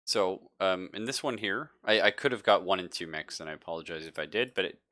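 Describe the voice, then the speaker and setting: A man narrating a horror tabletop game as game master, improvising in a hesitant, halting way. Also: sits low, pushed and bright.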